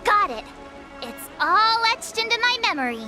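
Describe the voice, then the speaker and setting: An eager teenage girl learning the sword in an anime game. Her voice is extremely high and bounces with lively intonation. She sounds earnest and exclamatory over clear background music. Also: normal speed. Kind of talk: anime-style video game voice acting.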